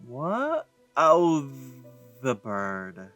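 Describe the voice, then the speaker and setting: A man with a mid-pitched voice commenting casually as he plays a video game. He talks in loose, informal asides, with game music faintly behind him. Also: huge pitch swings, extremely slow, a bit bright, lo-fi sound. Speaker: let's play host.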